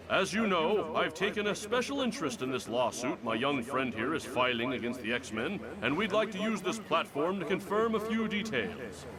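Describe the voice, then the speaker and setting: A man playing a middle-aged American senator in a motion comic, folksy, oily and patronizing. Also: a little high. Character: folksy, manipulative middle-aged male senator, bullying beneath charm.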